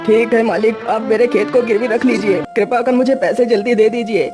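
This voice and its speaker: A woman's voice: a little high, fairly even intonation, fairly quick, music in the background, lightly acted. A woman voicing a poor, humble male cartoon villager, deferential and pleading.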